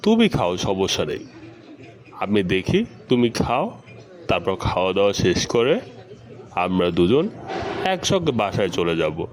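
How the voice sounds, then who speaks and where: A man playing a caring, gently coaxing middle-aged father in an amateur educational cartoon. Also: sits low, big pitch swings, a little slow, long pauses, noisy background. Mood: gently persuading.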